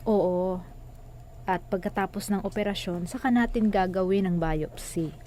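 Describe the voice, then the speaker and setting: A woman's voice: mid-range voice, fairly even intonation, measured pace, warm tone, slight background noise, lightly acted. An actress playing a serious, reassuring female doctor in a radio drama.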